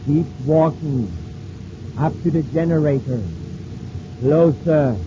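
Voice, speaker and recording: A menacing, hypnotic villain in an old radio adventure. He commands very slowly and insistently in a raised voice, on a muffled, noisy vintage recording. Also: on the high side. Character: slow hypnotic male villain compelling his victim. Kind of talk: 1950s radio adventure serial acting.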